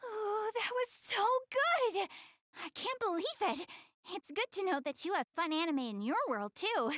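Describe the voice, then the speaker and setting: A woman's voice: very high voice, lively intonation, a little slow, a bit bright, no room sound, clean studio sound, full character voice. A young woman playing a proud, easily flustered, cute anime goddess girl in a video game, speaking bashfully and defensively to the player. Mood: excited, gushing. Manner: bashful, defensive, stammering when embarrassed.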